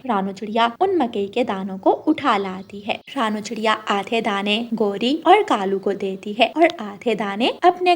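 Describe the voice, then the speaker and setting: A woman narrating a scripted story for children. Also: on the high side.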